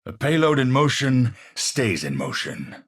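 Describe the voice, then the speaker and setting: An eccentric male astrophysicist from a video game, with a Dutch accent. His voice is slightly rough and breathy and moves at a slow, measured pace. The pitch ranges enormously, giving his learned, theatrical phrasing a grandiose, unstable edge. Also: sing-song. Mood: calm, scholarly. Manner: theatrical, professorial, slow and deliberate wording.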